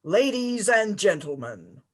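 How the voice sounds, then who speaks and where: A formal announcer from an old radio drama, played by a stage reader. The delivery is very slow and deliberate, at a middling pitch. Each phrase is scripted and carefully set out. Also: big pitch swings, heavy background noise. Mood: formal, composed. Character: formal, measured 1930s radio network announcer.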